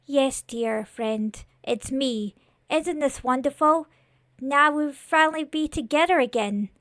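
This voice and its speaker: A woman's voice: sits high, slow pace, a bit bright, no echo, clean studio sound, voice pushed up. A woman voicing a naive living scarecrow creature, earnest and emotional, pleading like someone betrayed in a horror story. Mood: eager, confused.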